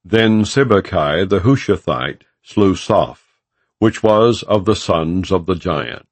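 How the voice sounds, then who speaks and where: Male narrator for non-dramatized scripture audiobook: unhurried, solemn, lively rise and fall, close dry sound. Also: low-pitched, dry recording. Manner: measured, solemn, formal delivery.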